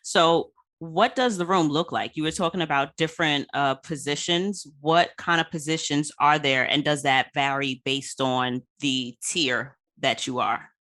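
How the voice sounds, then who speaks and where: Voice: medium pitch, fairly even intonation, measured pace, few pauses, sharp tone. A podcast host in a casual interview, giving short attentive responses and asking curious follow-up questions.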